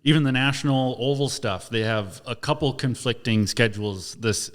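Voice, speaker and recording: A man's voice: mid-range voice, moderate pace. A man hosting a podcast, chatting casually and easily, often agreeing and laughing. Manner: easygoing, laughs and affirms often. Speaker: podcast host. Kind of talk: casual chat.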